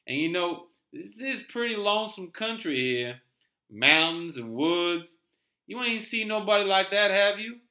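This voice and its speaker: A man's voice: high-pitched, lively intonation, sharp tone, pitched above the performer's own voice, heavily acted. A man voicing a chatty, folksy gas station attendant who talks colloquially and sounds incredulous, in a dramatic read-aloud. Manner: colloquial, drawn-out country talk. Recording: roomy echo, clear background noise.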